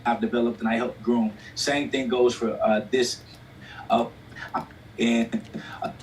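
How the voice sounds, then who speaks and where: A man speaking haltingly with many pauses, in a clip played from a distance. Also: mid-range voice, steady tone. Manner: halting, hesitant. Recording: distant clip with faint noise.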